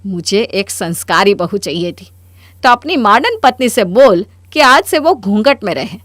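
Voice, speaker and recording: A woman voicing a spiteful, scheming middle-aged mother-in-law in a cartoon story, with a sharp, taunting, bossy delivery. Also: mid-range voice, sing-song, moderate pace, no room sound. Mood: spiteful, stubborn. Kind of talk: Hindi cartoon story dialogue.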